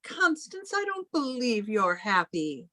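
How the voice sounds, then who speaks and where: A woman's voice: medium pitch, sing-song, slow, bright, forceful tone, dry recording, studio quality, exaggerated voice. A woman playing a graying, commanding, possessive elder spinster sister in a radio drama, stern and formal in manner. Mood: suspicious, scolding. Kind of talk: old time radio drama acting.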